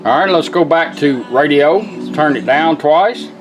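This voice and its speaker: Higher-voiced man giving a casual demo. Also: a little slow, over music, low-quality recording. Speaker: product demonstrator. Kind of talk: casual hands-on demonstration.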